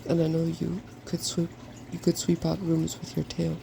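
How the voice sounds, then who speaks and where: A softspoken reader voicing a confident, feeble old dragon from a fairy tale, reasoning persuasively. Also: mid-range voice, completely flat delivery, normal speed. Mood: hopeful. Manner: self-assured, reasoning. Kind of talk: fairy tale storybook reading. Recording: clearly noisy background.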